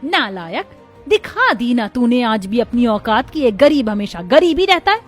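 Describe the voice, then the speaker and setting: A woman's voice: fairly high pitch, big pitch swings, normal speed, faint music behind. A woman voicing a harsh, middle-aged, domineering rich mother-in-law in a cartoon moral story, scolding and taunting. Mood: furious.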